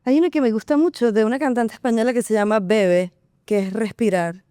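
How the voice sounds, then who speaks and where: A woman's voice: a little high, fairly quick, clear, resonant tone, no echo, clean studio sound. A woman from Venezuela, a singer and actress, chatting casually as a guest and laughing often. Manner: lively, laughs often, playful. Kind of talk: casual interview chat.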